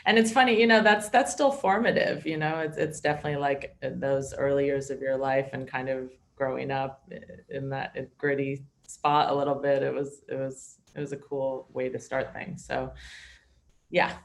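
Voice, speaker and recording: Flat-toned woman, relaxed guest. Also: rumbling low, moderate pace, vocal fry. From New York City. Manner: relaxed, with frequent restarts. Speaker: podcast guest. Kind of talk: casual interview chat.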